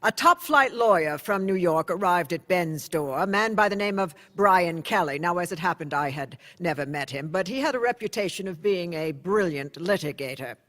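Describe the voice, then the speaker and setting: Guy narrating a radio drama, kinda high voice, reverby. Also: lively intonation, normal speed, hardly any pauses, fairly bright tone.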